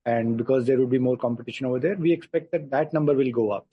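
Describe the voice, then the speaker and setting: A man, a company executive answering questions deliberately and with pauses on an earnings call, in a husky voice. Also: medium pitch, fairly even intonation, no echo, dull audio. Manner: deliberate, pausing, seeks agreement with "right?". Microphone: conference call line.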